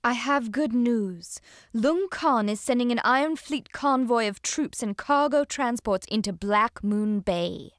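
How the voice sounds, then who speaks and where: A woman playing a poised, earnest noblewoman in a video game, speaking in a composed, measured and refined way. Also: a little high, no echo, studio quality, natural voice. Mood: composed, eager. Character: poised, earnest noblewoman who briefs a naval commander. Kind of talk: dramatic game cutscene acting.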